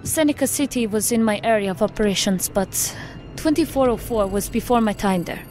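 An actress playing a formal, analytical federal agent in an audio drama, speaking precisely. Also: female voice, medium pitch, a little fast, darkish tone, faint music behind, lightly acted. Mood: measured, analytical. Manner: precise, formal, without contractions.

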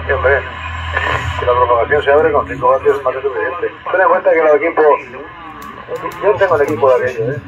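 A man's voice: mid-range voice, steady tone, fast, a loud crowd. Man chatting on radio, dull lo-fi sound. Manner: repeatedly adds "eh" as a tag. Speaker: radio hobbyist operator. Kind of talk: casual chat over CB radio.

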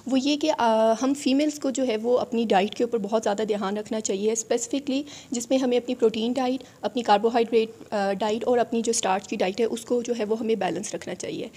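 A woman's voice: on the high side, steady tone, a little fast, few pauses, mellow, breathy edge. A young woman with a Pakistani accent delivers an explanatory awareness message as an officer, mixing in many English terms. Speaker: veterinary officer. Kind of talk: prepared awareness message to camera. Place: indoor event hall, in front of a banner. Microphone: handheld reporter microphone.

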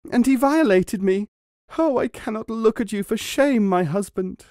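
A man reading a story, putting on the high voice of a scheming young wife, coaxing and accusing. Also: very animated, moderate pace, darkish tone, no room sound, clean studio sound. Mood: tearful. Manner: coaxing, then wailing accusation.

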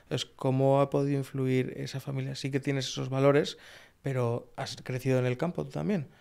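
Gravelly young podcast host from Spain. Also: male voice, medium pitch, little pitch movement, moderate pace, darkish tone. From Badajoz, Spain. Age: young adult. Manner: laid-back, hesitant, many fillers. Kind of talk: casual interview chat. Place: podcast studio, indoors. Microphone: broadcast mic on arm, wearing headphones.

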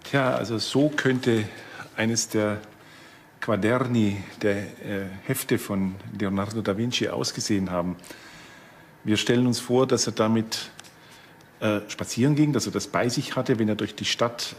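A man's voice: lowish voice, unhurried. A man speaking as an expert presenter, explaining in his own words. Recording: noisy location sound. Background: ambient noise.

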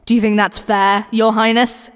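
A woman playing a cocky young commoner thief in an expressive, sardonic audio roleplay, speaking straight to the listener. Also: on the high side, moderate pace, clean tone, dry recording, clean studio sound, close to an everyday voice. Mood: bitter, impassioned. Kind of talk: audio roleplay acting, one-sided dialogue addressed to the listener.

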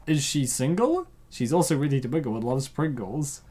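Male host, casual and jokey, lively pitch, slangy off-the-cuff commentary on a comic. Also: normal speed.

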